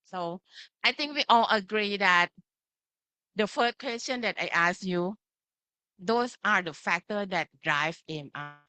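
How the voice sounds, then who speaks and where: Slow, bright-voiced Thai-accented woman lecturer. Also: medium pitch, smooth, dry recording, rough recording. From Thailand. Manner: simple phrasing, often checking with "right?" and "okay". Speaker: pharmacy lecturer. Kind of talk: interactive online lecture with slides.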